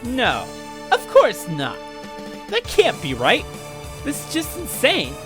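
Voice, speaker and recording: A man's voice: extremely high pitch, huge pitch swings, slow pace, voice pushed up, heavily acted. A man voicing a bitter, desperate, dying young man in a visual novel, with strained and theatrical delivery. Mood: frantic denial. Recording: loud music under voice.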